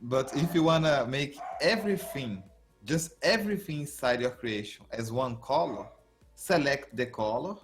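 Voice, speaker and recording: A man's voice: medium pitch, animated, moderate pace, faint music behind. A man co-hosting a game live stream, chiming in with brief joking remarks. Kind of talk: casual game tutorial chat.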